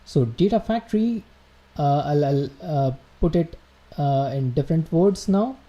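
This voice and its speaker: A man's voice: on the high side, animated, measured pace, dark tone, dull audio. A man giving a live online technical lesson, explaining step by step with frequent fillers and restarts and checking in with his viewers. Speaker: livestream instructor. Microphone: computer mic, quiet at first then turned up.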